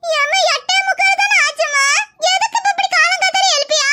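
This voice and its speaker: A woman's voice: very high-pitched, brisk. A woman voicing a sleepy cartoon schoolgirl who whines and drawls in a southern Tamil Nadu accent. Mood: sleepy, whining. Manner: drawling, whiny. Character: sleepy, whining schoolgirl pleading to stay in bed. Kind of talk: cartoon character dialogue. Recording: very loud background noise.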